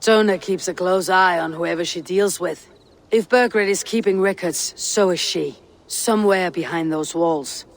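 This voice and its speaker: A woman's voice: lowish voice, unhurried. A resolute Viking warrior woman of a video game. She talks in short, commanding phrases and wastes no words, blunt and fearless. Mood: determined. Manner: curt, commanding. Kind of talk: video game cutscene acting.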